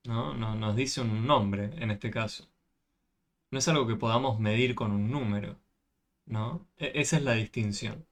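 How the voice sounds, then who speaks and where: Patient man tutoring math. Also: mid-range voice. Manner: patient, asks frequent check questions. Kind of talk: online class explanation.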